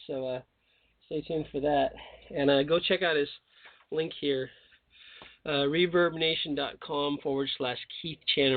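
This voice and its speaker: A man's voice: fairly high pitch, dry recording. A man hosting a call-in radio show, talking casually and rambling with joking asides. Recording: tinny phone line.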